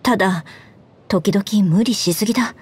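A woman voicing an earnest, dutiful young knight commander in a Japanese game, speaking in a formal, measured way. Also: medium pitch, slightly rough. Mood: composed, thoughtful. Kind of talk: Japanese game character voice lines. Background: background music.

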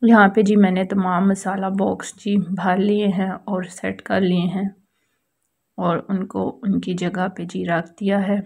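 A woman's voice: mid-range voice, flat delivery, unhurried, vocal fry, darkish tone, husky. A woman with a Pakistani accent giving a casual how-to voice-over as a home vlogger, explaining things politely and repetitively.

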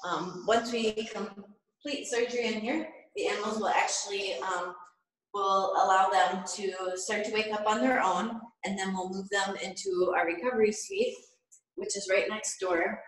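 A woman's voice: mid-range voice, fairly even intonation, moderate pace, a bit bright. A woman in her forties giving a matter-of-fact, informal explanatory tour of a research facility, recorded on a phone. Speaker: research facility staff guide. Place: indoor tiled surgery and recovery rooms. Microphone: handheld phone a few feet away. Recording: echoey hard-walled room, phone video.

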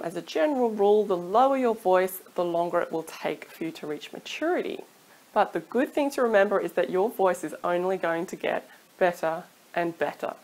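A woman's voice: on the low side, poor audio. A woman in her thirties, a singing teacher giving chatty, encouraging advice to camera from a small room at home, laughing now and then. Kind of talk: casual advice vlog to camera. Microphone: studio mic off camera.

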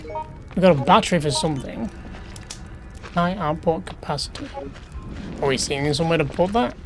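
A man's voice: fairly high pitch, expressive, normal speed, music in the background. A man casually commenting as he plays a horror game, muttering questions to himself as he explores. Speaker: gaming YouTuber. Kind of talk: casual let's play commentary.

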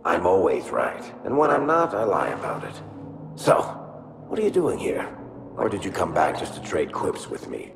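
An actor playing a wry, roguish dwarf in a video game, wisecracking and sardonic. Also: male voice, low-pitched, wildly swooping pitch, moderate pace, vocal fry, music in the background, exaggerated voice. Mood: wry, teasing.

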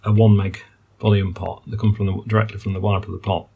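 Gravelly older man at a workbench. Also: lowish voice, flat delivery, quick pace, phone-quality sound, a little hiss or hum. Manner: musing, technical, many ums and uhs. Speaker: electronics repair hobbyist. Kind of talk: hands-on repair walkthrough. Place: workbench indoors.

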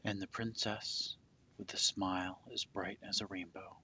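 A man reading a children's picture book aloud as storyteller, with deliberate, dramatic phrasing that softens at the ends of phrases. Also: sits low, level tone, husky, poor audio.

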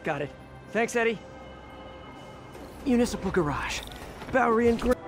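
A man's voice: extremely high pitch, animated, moderate pace. A young man with an American accent acting an earnest, quippy young superhero in a video game cutscene, sometimes hesitant and talking to himself. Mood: musing. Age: young adult. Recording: dramatic music bed behind.

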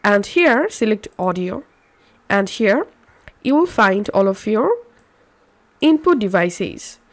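A woman presents a step-by-step software guide. She takes it slowly and keeps to an instructional, scripted delivery with simple phrasing. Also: mid-range voice, very animated. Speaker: tutorial presenter.

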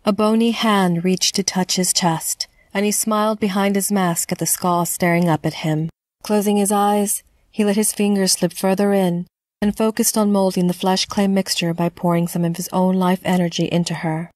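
A woman narrating a scripted horror story in a measured, dramatic storytelling manner. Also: mid-range voice, fairly even intonation, a little mellow, no room sound.

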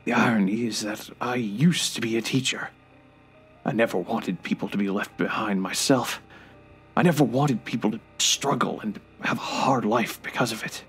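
An actor playing a frail, bitter, remorseful old grandfather in an audio drama, halting and irritable, sometimes hushed and breathy. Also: fairly low pitch, faint music behind. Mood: wistful, remorseful.

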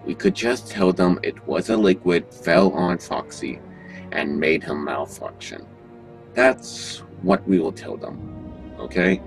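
A man acting an evasive, sinister restaurant owner with halting delivery in an amateur horror audio drama. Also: low voice, sing-song, creaky, faint music behind, heavily acted. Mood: defensive, dismissive. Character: evasive, sinister male animatronic restaurant owner hiding murders.

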